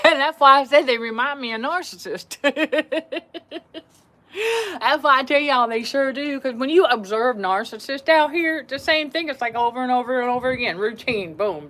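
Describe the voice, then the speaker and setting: A woman's voice: on the high side, sharp tone. A woman hosting a livestream, talking animatedly to her viewers, repeating phrases and laughing often. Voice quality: voice that carries. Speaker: livestream host. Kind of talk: casual livestream talk to viewers.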